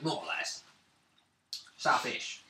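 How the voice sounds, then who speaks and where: A man chatting casually as a player at a tabletop game. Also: takes it slowly. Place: indoors around a gaming table. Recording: roomy distant mic, faint noise.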